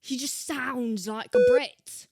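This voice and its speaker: A woman's voice: high voice, slow pace, gravelly. A woman doing an exaggerated put-on British accent, giving theatrical, playful reaction commentary and repeating phrases for emphasis. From United States. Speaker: host of a reaction show.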